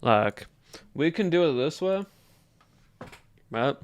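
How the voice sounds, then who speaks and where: A man's voice: a little high, animated, takes it slowly, clean tone. Man with a put-on Southern drawl. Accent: put-on American Southern. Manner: loose drawl, musing aloud. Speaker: streamer. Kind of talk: casual livestream chat with viewers.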